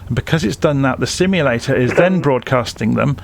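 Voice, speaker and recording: A man's voice: mid-range voice, lively intonation, fairly quick, vocal fry, over a noisy crowd. A man presenting a casual flight simulation tutorial, explaining things informally, step by step.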